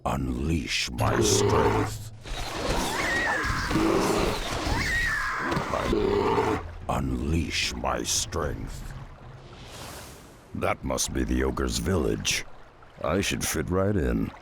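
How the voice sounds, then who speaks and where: A man voicing a gruff, stoic half-orc tracker in a fantasy game, terse and commanding with a gravelly growl. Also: a bass voice, lively intonation, drawn-out words, very noisy, full character voice. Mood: resolute. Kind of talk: fantasy game cinematic acting. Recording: music behind the voice.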